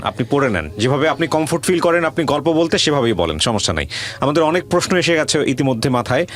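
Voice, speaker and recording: A man with a Bangladeshi accent hosting a conversational interview, speaking out loudly and deliberately and addressing the audience. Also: mid-range voice. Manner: speaks loudly and deliberately for a hard-of-hearing guest. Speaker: radio show host interviewing a guest.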